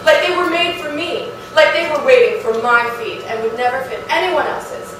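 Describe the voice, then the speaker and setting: High-voiced, theatrical actress as affected wife, echoey. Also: female voice, animated, normal speed. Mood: gushing, dreamy. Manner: theatrical, savouring each phrase. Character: dreamy, affected, shoe-loving wife of a shunned undertaker. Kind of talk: staged play reading. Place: live reading in an echoey performance room. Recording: roomy, distant, noisy live theatre recording.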